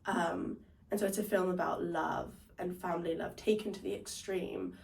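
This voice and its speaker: A woman's voice: on the low side, lively intonation, moderate pace, vocal fry, a little mellow. A young woman from London answering interview questions in an earnest, reflective way. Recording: voice picked up from a distance.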